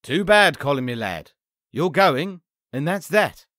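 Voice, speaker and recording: A man's voice: fairly high pitch, big pitch swings, a little slow, sharp tone, no room sound, clean studio sound, heavily acted. A man playing a chipper, folksy, friendly doorman in a comic dub. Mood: cheery.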